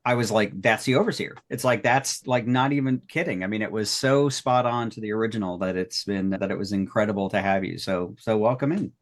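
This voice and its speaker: A man's voice: on the low side, fairly quick, hardly any pauses. A man hosting a group retrospective, chatting casually as he introduces people. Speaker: host of an audio drama cast retrospective.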